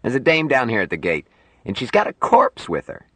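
An eager male hired hand in an audiobook, put on by a man narrator. He speaks plainly and slangily, pitched up from the narration, obedient to his boss. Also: very animated, fairly quick, pushed and bright, dry recording. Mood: excited, hesitant.

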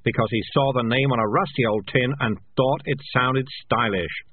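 A man voicing a jittery, quick-witted male talking cat who babbles nervously. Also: medium pitch, breathy edge, dry recording, natural voice. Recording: tinny, dry, lo-fi narration.

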